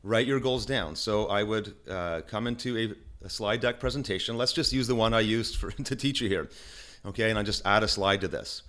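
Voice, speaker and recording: Deep voiced guy doing a chatty screen tutorial into a desk mic, keeps saying okay. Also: moderate pace. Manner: energetic and chatty, with frequent restarts and "okay?" check-ins. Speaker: online marketing instructor. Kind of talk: casual screen-recorded tutorial. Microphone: desk microphone.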